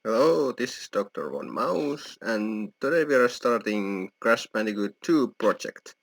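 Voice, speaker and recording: A man's voice: fairly low pitch, sing-song, a little slow, creaky. A man giving casual, explanatory let's play commentary as a gaming host, with non-native phrasing. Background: video game music.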